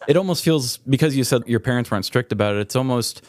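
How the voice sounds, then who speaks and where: A man's voice: medium pitch, quick pace, a little hiss or hum. An American man in his thirties, a podcast co-host chatting casually and responding to personal stories. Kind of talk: casual chat.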